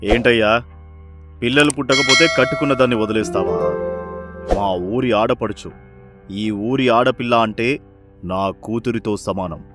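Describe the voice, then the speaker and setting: A man's voice: fairly low pitch, a little slow, long pauses, over music, close to an everyday voice. A man playing an authoritative, fatherly village headman in a moral-story cartoon, with a formal, oratorical manner. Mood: indignant scolding.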